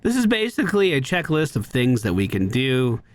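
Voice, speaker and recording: A man's voice: mid-range voice, very animated, normal speed, slight background noise. A man giving casual, playful video game commentary, explaining things expressively as he plays. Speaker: video game player and host.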